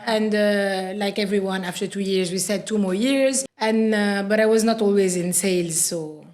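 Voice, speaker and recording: A woman from Lebanon, a podcast guest telling animated stories and laughing easily. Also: medium pitch, moderate pace. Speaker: guest, radio sales professional. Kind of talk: podcast conversation.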